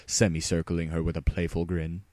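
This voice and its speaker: A man's voice: sits low, completely flat delivery, moderate pace, dark tone, no room sound. A man reading a scripted story aloud as a dramatic narrator. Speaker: fan fiction narrator. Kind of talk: dramatic scripted narration, spoofing an audiobook reader.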